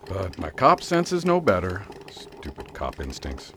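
A man plays an exasperated small-town sheriff in a radio play. His lowish voice leaps hugely in pitch as he grumbles wryly, with clear noise behind. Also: huge pitch swings, measured pace, a bit bright, exaggerated voice. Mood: wry, uneasy. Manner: wry, self-deprecating muttering. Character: wry, exasperated male local sheriff. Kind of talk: audio drama acting.

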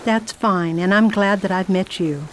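A woman's voice: medium pitch, a little slow, slight background noise, low-quality recording. A woman playing a proper, composed lady traveler in a radio drama, narrating her diary between scenes. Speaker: traveling narrator, Miss Gregory.

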